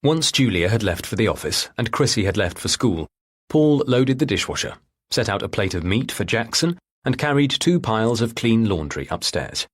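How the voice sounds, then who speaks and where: Low husky male audiobook narrator. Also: big pitch swings, moderate pace. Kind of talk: scripted narration of a thriller novel.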